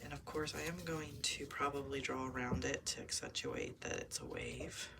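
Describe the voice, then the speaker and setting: A woman's voice: rumbling low, wildly swooping pitch, normal speed, gravelly. A woman chatting to viewers while making a junk journal page, musing aloud and slipping into near-whispers, with a chesty cold. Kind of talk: casual crafting chat to viewers. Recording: noisy home recording with clicks.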